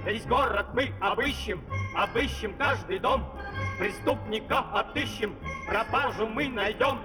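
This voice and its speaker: A man's voice: sits high, measured pace, music in the background. An actor playing a zealous, boastful, bumbling policeman in a comic fairy-tale opera, with eager self-important delivery. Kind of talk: comic opera singing and theatrical acting. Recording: distant vinyl opera recording over orchestra.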